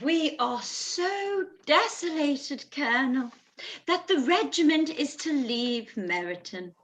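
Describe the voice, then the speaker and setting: A woman's voice: sits high, lively intonation, slow pace. An actress playing a fussy, gushing middle-aged mother in a live-read radio play, drawing out her words theatrically.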